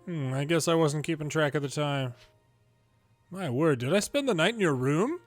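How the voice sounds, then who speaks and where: Man, somewhat high voice, playful game read-aloud, changes pitch between characters, soft music underneath. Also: animated, normal speed, no echo. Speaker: longplay host reading a game's dialogue aloud. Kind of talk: reading story dialogue aloud with ad-libbed commentary. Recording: music behind the voice.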